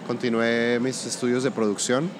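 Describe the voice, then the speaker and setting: A man from Colombia with a Colombian-Venezuelan border accent, a music producer talking through his work in a relaxed interview, pausing and restarting his sentences. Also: medium pitch, noisy background. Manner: thoughtful, restarts often. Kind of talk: casual interview conversation.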